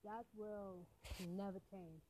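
A woman's voice: mid-range voice, fairly even intonation, slow pace, heavy background noise. A woman from Algeria, an English speaker, chatting casually and emphatically as she gives advice on a solo podcast, often repeating phrases for stress. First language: not English, a non-native speaker. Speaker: podcast host, independent writer.

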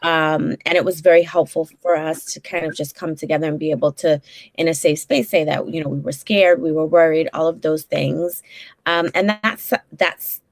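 A woman's voice: low-pitched, steady tone, moderate pace, no echo. A woman in her forties with a Brooklyn accent, a social worker talking frankly as an interview guest, with many fillers and restarts. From Brooklyn, New York. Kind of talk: casual interview conversation.

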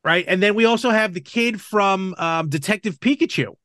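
A man's voice: high-pitched, normal speed, bright, forceful tone, dry recording, studio quality. A middle-aged man hosting a casual movie podcast, chatting in a rambling way with conversational asides. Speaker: podcast host.

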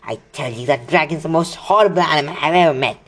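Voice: mid-range voice, fast, fairly bright tone, voice pushed up, natural voice. A reader voicing a grumbling schoolboy wizard, raised and emphatic, during a read-aloud of a children's novel. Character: boy schoolwizard, grumbling friend.

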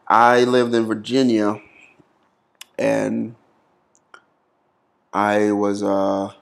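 A man's voice: on the low side, little pitch movement, drawn-out words, creaky. A man hosting a podcast, chatting casually with a friend, mostly through short interjections and plain talk. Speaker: podcast host. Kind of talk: casual chat.

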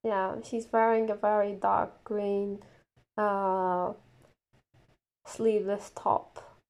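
A woman's voice: medium pitch, level tone, slow pace, darkish tone. A young woman whose first language is not English, a player on a role-playing podcast, speaking hesitantly with many fillers and giggles. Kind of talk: casual chat.

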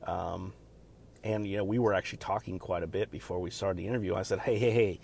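A man hosting a podcast alone, talking in a loud, deep voice and rambling casually with many fillers and restarts. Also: steady tone, fast, gravelly, a little hiss or hum. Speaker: podcast host. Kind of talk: casual solo podcast chat.

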